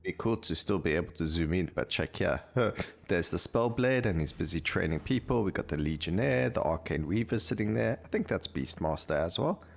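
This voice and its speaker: Deep-voiced man doing game commentary. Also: lively intonation, normal speed, vocal fry. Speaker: gaming video creator. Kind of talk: casual gameplay commentary. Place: voice-over recorded over game audio. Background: game music.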